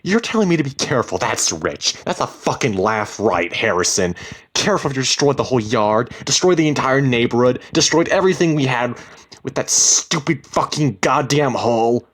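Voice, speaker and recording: A man voicing a frightened, furious wife with a sharp, sarcastic tongue in a dramatic story reading. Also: mid-range voice, very animated, measured pace, few pauses, slightly rough, pitched above the performer's own voice, full character voice. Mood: scornful. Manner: exasperated, swearing, emphatic.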